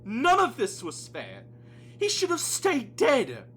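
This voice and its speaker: Man acting a raging, high-pitched condemned murderer. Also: huge pitch swings, slow, breathy edge, exaggerated voice. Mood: aggrieved despair. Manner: shouting, pleading, accusatory. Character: raging, whiny, self-pitying male condemned murderer. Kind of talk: amateur audio play acting.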